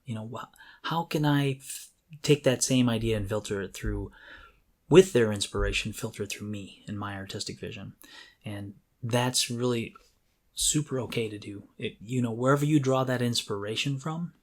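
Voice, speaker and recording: A man's voice: mid-range voice, moderate pace. A middle-aged man co-hosting a podcast, talking casually and reflectively as he thinks aloud. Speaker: podcast co-host. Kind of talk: casual conversational talk.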